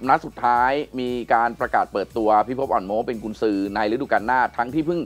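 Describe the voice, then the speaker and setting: A man's voice: medium pitch, quick pace, soft background music. A middle-aged man hosting a conversational football discussion in a TV studio. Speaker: sports show host. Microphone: lapel mic.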